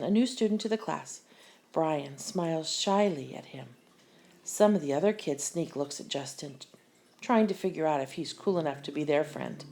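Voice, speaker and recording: A woman reading a children's picture book aloud with expressive, deliberate storyteller phrasing. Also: low-pitched. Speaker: story reader.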